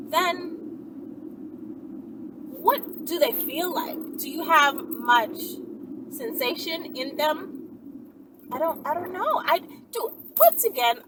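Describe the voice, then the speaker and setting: A woman vlogger answering viewer questions in a rambling, animated monologue, often checking in with "right?" and "okay?". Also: high-pitched, slow, long pauses, distant mic, noisy background. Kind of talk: casual Q&A monologue.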